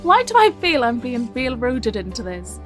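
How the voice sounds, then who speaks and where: Adult daughter for audio drama: higher register, wry, teasing, affectionate, reluctant. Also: female voice, sing-song, moderate pace, fairly bright tone, soft background music. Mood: wry, exasperated.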